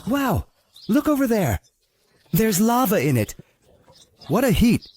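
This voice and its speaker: A man narrating a scripted story for young children, expressive and full of questions to the listener. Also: high-pitched, slow. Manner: expressive, asks the viewer questions. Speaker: narrator of a children's toy video. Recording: music behind the voice. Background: music.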